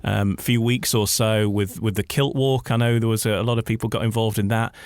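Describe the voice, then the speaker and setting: A man's voice: fairly low pitch, flat delivery, a little fast, dry recording. A man hosting a conversational radio interview, building wordy questions with repeated words.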